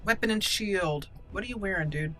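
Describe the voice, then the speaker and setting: A woman's voice: mid-range voice, moderate pace, fairly bright tone, poor audio. A woman hosting a let's-play video, commenting casually and talking to herself and the game characters as she plays. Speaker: gaming video host. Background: game music.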